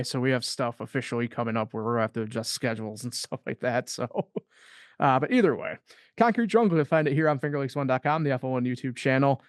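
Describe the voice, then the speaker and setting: A man's voice: mid-range voice, smooth, no echo. Rambling man, dry close sound. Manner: loose and rambling, heavy on fillers. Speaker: co-host of a sports podcast. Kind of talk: casual sports chat.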